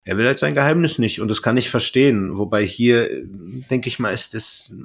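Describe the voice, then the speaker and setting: A man's voice: medium pitch, brisk. A man co-hosting a podcast, retelling a story in casual chat with colloquial, jokey phrasing. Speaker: podcast co-host.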